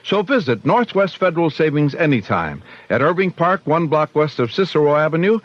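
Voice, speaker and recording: A male announcer reads a radio commercial. His pitch is on the high side and moves around a lot. The old broadcast recording is dull. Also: very animated, a little fast, no echo. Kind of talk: scripted radio advertisement.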